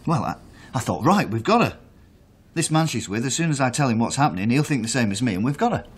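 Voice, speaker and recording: A man's voice: mid-range voice, sing-song, fast talker, slight background noise, natural voice. An actor playing an aggrieved man recounting events under questioning in a naturalistic radio drama, emphatic and asking himself questions. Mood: agitated, bitter. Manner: emphatic, self-questioning storytelling.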